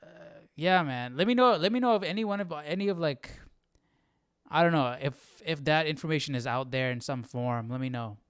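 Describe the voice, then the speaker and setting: A man's voice: fairly high pitch, normal speed, a bit bright, smooth, dry recording, clean studio sound. A man hosting a live Bible study, reading aloud and musing informally in casual commentary. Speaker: host of a Bible study stream.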